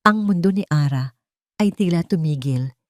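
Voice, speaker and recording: A woman narrates a scripted drama in a fairly low voice. The recording is dry, with very little room around her. It is delivered as dramatic storytelling. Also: expressive, moderate pace, a little mellow, dry recording.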